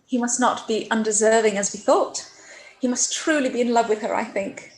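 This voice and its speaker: A woman playing a gentle, trusting young Regency sister in a period radio play, soothing, with careful formal diction. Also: on the high side, normal speed, slight background noise, close to an everyday voice, low-quality recording. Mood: hopeful, sympathetic.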